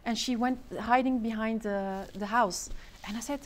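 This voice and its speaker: A woman's voice: on the high side, fairly even intonation, slight background noise. Thoughtful young Belgian-born woman guest. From Belgium (Limburg). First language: Turkish heritage, grew up speaking several languages. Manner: thoughtful, measured, switches between languages. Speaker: interview guest. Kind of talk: interview answers.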